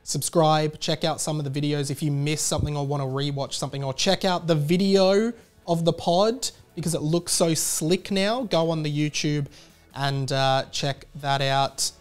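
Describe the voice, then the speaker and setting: Higher-pitched Australian man, rambling podcast host. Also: normal speed, faint music behind. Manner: rambling, informal. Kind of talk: casual solo podcast chat.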